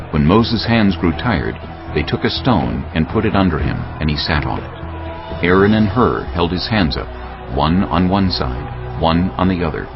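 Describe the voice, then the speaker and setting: Deep-voiced, animated male scripture narrator. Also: muffled recording.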